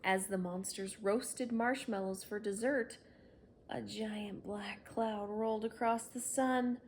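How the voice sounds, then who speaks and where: A woman's voice: medium pitch, fairly even intonation, slow pace, a little hiss or hum. A woman reading a children's story aloud, narrating expressively and without hurry. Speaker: storybook reader.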